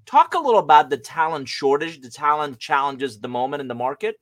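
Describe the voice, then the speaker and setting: A man's voice: fairly high pitch, expressive, fast, a bit bright, no room sound, studio quality. A man hosting a business podcast, friendly and upbeat as he welcomes a guest and asks questions. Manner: upbeat and friendly, polished interviewer. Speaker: podcast host.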